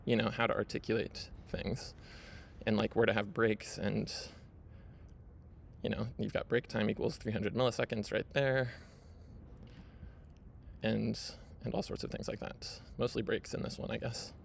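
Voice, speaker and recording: A man's voice: fairly low pitch, flat delivery, normal speed, creaky, slight background noise. A man hosting his own video, casually walking viewers through his screen with lots of fillers and "right?" tags. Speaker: video host. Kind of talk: casual screen-share walkthrough.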